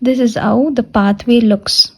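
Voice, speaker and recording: A woman giving a step-by-step technical lecture, restating her points deliberately as she explains. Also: a little high, fairly even intonation, slightly rough. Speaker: physiotherapy lecturer.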